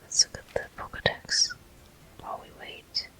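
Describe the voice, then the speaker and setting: A person whispering soft, breathy, unhurried commentary in short phrases while playing a video game for ASMR viewers. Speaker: video game player and host.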